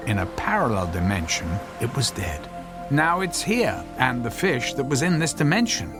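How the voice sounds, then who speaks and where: An actor playing an earnest, anxious physicist husband in a radio drama, explaining his invention in halting, stammering phrases. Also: medium pitch, very animated, music in the background, natural voice. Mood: earnest, explanatory.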